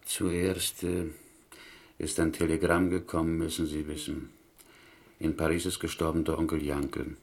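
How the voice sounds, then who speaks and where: Pious small-town butcher from Poland, low voice, creaky, talkative, gentle, wily, inverted syntax, radio play. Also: male voice, flat delivery, moderate pace. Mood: musing, anecdotal. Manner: inverted Yiddish-style syntax, musing, rambling storyteller. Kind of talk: German radio play acting.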